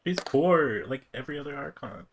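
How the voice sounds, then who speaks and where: A man's voice: on the high side, lively intonation, brisk, a little hiss or hum. A man livestreaming a game with casual, slangy, chatty commentary.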